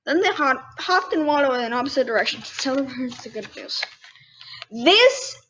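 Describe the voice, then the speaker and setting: A young girl with a high voice reads a children's story aloud. She drops in candid, casual remarks as she goes. The recording is dull, with faint noise behind her. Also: expressive, moderate pace, fairly bright tone. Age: child, about 10. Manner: candid, self-interrupting commentary. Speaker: young host reading children's books.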